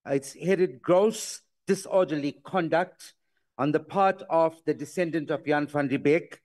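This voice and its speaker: Insistent high-voiced man raising an objection. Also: unhurried, a little mellow. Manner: insistent, talks over the chair. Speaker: member of parliament raising a point of order. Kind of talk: parliamentary point of order. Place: large parliamentary chamber, indoors.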